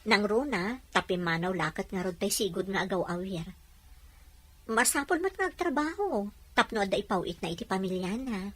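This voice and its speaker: A woman's voice: mid-range voice, expressive, moderate pace, a bit bright, husky. A woman playing a kind, patient wife and friend in a conversational audio drama. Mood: explaining.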